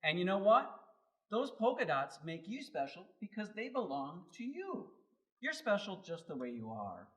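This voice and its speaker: Male kids' host: high, hugely swooping intonation, encouraging and exaggerated, slightly distant sound. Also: huge pitch swings, moderate pace, fairly bright tone. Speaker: children's segment host. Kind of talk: scripted, upbeat chat for children. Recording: distant microphone.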